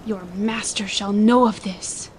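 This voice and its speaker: A woman's voice: mid-range voice, steady tone, unhurried, slight background noise, lightly acted, poor audio. A woman voicing a frightened yet proud young noblewoman, breathless and defiant. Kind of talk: audiobook narration.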